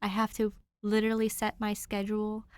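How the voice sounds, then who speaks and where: A woman's voice: medium pitch, completely flat delivery, fairly quick, smooth, no echo. Young woman narrating, dry close sound. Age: young adult. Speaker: amateur audiobook narrator. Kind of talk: expressive story narration and casual chat.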